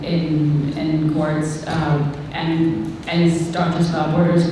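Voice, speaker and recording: A woman answers as a medical panelist in a very echoey hall, picked up from a distance. Her voice is low and mellow, and her delivery is candid and informal. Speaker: humanitarian doctor speaking as a panelist. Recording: echoey hall, distant mic, faint noise.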